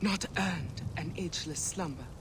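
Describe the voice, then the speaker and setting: A woman voicing a regal ghostly queen in a game cutscene, speaking in a breathy, whispery, solemn way. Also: on the low side, normal speed, background noise, lightly acted. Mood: whispering. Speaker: game character. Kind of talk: video game cutscene acting.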